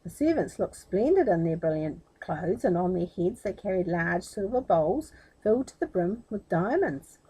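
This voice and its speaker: A woman storyteller reading a children's tale aloud expressively, with animated, chatty asides. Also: fairly low pitch, moderate pace, husky, rough recording.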